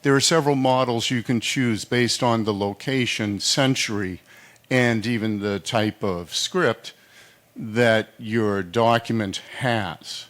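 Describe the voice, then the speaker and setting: A man in the audience asking a long, chatty question about learning the software. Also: medium pitch, slow. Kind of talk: question from the floor.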